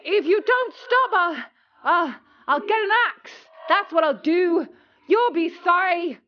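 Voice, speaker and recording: A woman's voice: lively intonation, takes it slowly, exaggerated voice. A woman voicing an exasperated, petulant and desperate boy apprentice in a children's storybook, with dramatic outbursts and pleading. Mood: angry threatening. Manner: shrill outbursts, stammering threats, dramatic pleading. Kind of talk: children's storybook character reading. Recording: dull lo-fi audio with music.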